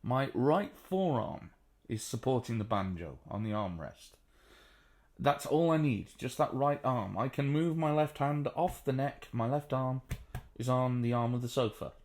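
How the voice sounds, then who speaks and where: A man with a baritone voice, sitting on a sofa at home and casually explaining how to play the banjo, with plenty of ums and uhs. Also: animated, a little mellow. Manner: chatty, unhurried, frequent ums and uhs. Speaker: banjo teacher. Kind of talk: relaxed spoken music tutorial.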